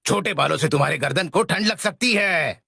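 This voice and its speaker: A man's voice: on the high side, fairly quick, bright, forceful tone, no room sound, clean studio sound, full character voice. A man voicing a stubborn, overprotective middle-aged father in a Hindi cartoon dub, fussy and bossy, with music behind. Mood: indignant. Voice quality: creaky.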